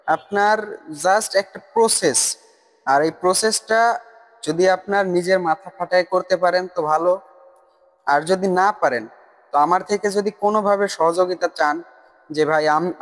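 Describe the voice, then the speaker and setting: A man giving a casual, insistent explanatory voice-over for a tutorial video. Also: sits high. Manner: insistent, repetitive, addressing viewers as brother. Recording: long reverb on the voice. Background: voice-over recorded separately from the screen capture.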